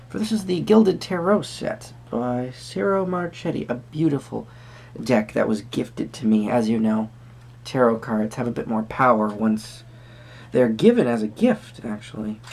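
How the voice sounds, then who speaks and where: A performer playing a quirky, friendly tarot reader at a Renaissance fair, speaking to the viewer in halting, theatrical phrases. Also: medium pitch, very animated, vocal fry, a little mellow, husky, full character voice. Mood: whimsical, musing. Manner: hesitant, theatrical, halting phrasing with sudden emphasis. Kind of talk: improvised first-person roleplay addressed to the viewer.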